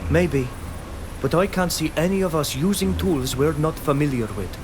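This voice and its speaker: An actor playing an earnest, proud young islander in a video game, speaking in a measured, firm manner. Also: male voice, a little high, expressive, music in the background, natural voice. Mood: earnest, reasoning. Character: earnest young islander man, proud defender of his people. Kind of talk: video game character acting.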